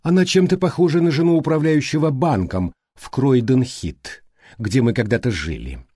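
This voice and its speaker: A man voicing a prim, brisk, unsentimental female secretary in an audiobook, precise and matter-of-fact. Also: mid-range voice, animated, dark tone, breathy edge, no echo, clean studio sound. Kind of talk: audiobook character reading.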